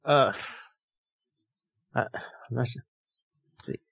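Deadpan man co-host, dry flat delivery, dull lo-fi recording. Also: mid-range voice, slow, gravelly, breathy edge, dry recording. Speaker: podcast co-host. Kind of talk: casual chat.

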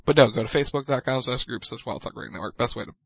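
Man co-host, rapid teasing chatter, husky dry sound. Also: mid-range voice, dry recording. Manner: teasing, chanting, fast. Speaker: podcast co-host. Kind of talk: casual chat.

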